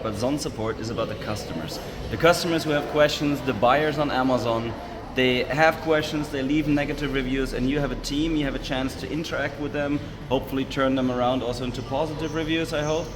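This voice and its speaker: A man hosts an interview at a busy trade fair, chatting with enthusiasm and steering with questions. His mid-range voice rings with a long hall echo, with steady noise around him. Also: medium pitch, brisk. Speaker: interviewer, host. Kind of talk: casual interview.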